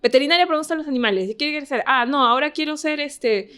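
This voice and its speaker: A woman's voice: sits high, quick pace, fairly bright tone. A Peruvian woman in a casual podcast discussion, telling personal anecdotes and voicing remembered bits of dialogue. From Peru. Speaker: podcast guest.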